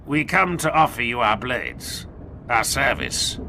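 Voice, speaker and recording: Solemn space marine lord, slow gravelly big swooping delivery, proud n ceremonial, war audiobook. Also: male voice, medium pitch, huge pitch swings, background noise, heavily acted. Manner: ceremonial, declamatory. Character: proud knightly space-marine high marshal, solemn and commanding. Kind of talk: dramatic audiobook character acting.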